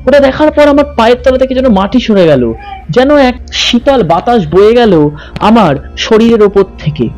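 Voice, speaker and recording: A man's voice: very high-pitched, darkish tone, lo-fi sound. A man narrating in a dramatic, suspenseful ghost-story style as a channel host. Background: background music.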